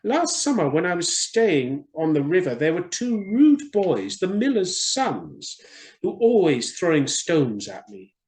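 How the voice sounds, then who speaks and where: A man's voice: fairly high pitch, sing-song, measured pace, darkish tone, voice pushed up. A man reading a children's storybook and voicing a small, chatty, kind-hearted swallow with a boastful, fussy manner. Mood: huffy, boastful.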